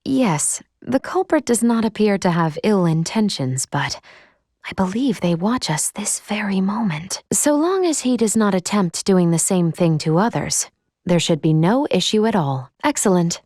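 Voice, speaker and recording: A woman voicing a composed female android in a video game, speaking formally and precisely with a measured, deadpan delivery and dry care. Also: medium pitch, no echo. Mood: calm, pensive. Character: composed female android crew member, formal and dryly caring. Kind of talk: scripted video game voice acting.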